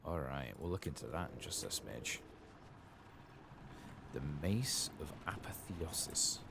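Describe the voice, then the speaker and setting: A man giving soft-spoken, partly whispered ASMR commentary while he plays a game, casually muttering to himself. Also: rumbling low, expressive, a little slow, gravelly, noisy background. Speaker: gaming streamer.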